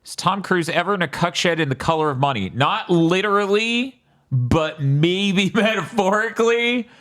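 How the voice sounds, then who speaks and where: A man's voice: sits high, expressive, normal speed, fairly bright tone. A man hosting a casual podcast chat about games and films, joking and riffing with mock dialogue. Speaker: podcast host.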